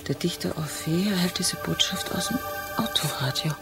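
A woman's voice: on the low side, normal speed, over music, lightly acted. An actress playing an earnest, anxious, romantic woman in a German radio drama. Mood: urgent, anxious. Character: earnest, troubled, romantic woman obsessed with secret messages.

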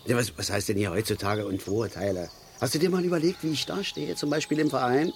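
An actor playing a middle-aged father and keen angler in a radio drama, blunt and aggrieved, speaking haltingly and repeating his words. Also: medium pitch, steady tone, a little hiss or hum, close to an everyday voice. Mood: aggrieved, defensive. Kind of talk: naturalistic German radio drama acting.

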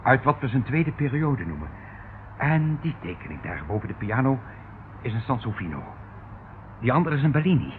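An actor in a radio play playing a pompously cultured, patronising uncle who dotes falsely and evades every question. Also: male voice, medium pitch, big pitch swings, normal speed, pauses often, natural voice. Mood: unctuous, evasive. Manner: theatrical, patronising, rambling, chuckling. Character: unctuous, evasive uncle captor, pompously cultured, falsely doting. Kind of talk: Dutch radio play acting. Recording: tinny, hissy old radio play recording.

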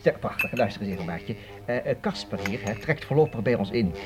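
An actor playing an eccentric, kindly older inventor professor in a children's audio drama, stammering, chuckling and repeating himself absent-mindedly. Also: male voice, fairly low pitch, expressive, a little fast, lightly acted. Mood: musing, absent-minded.

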